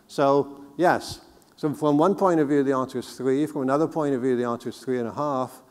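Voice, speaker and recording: A middle-aged man giving a conference keynote on a technical subject, explaining in a measured, deliberate way. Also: medium pitch, a little mellow, clear, resonant tone. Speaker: keynote speaker. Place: large indoor conference hall, on stage. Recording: reverberant hall sound.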